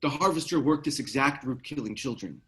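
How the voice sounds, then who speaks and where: A man playing an earnest, uneasy researcher of dark lore in a screenplay table read, explaining things hesitantly. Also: mid-range voice, lively intonation, fairly quick, lightly acted. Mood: uncertain, earnest.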